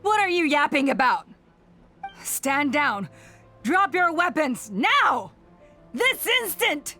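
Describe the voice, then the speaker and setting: Very high, forceful, imperious warrior woman. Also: sing-song, takes it slowly, soft background music, heavily acted. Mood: commanding. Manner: imperious, formal, dramatic. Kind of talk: video game voice acting.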